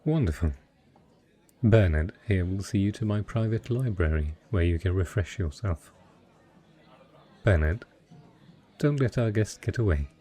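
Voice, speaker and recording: Suave fantasy merchant prince played by a man, deep and breathy-rough, mellow, formal, seductive, talking intimately to one listener. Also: expressive, measured pace, long pauses, heavily acted. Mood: courteous, intrigued. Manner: courtly, formal, smooth-talking flirtation. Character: wealthy male merchant patron, secretly a dragon, suave and possessive. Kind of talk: romantic fantasy audio roleplay addressed to the listener.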